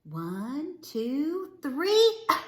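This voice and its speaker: Woman as a high-pitched, fretful mama armadillo. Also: huge pitch swings, fairly bright tone, roomy sound, exaggerated voice. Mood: calling, worried. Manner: calls out in drawn-out counting and folksy grammar. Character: worried, scolding mother armadillo with a folksy twang.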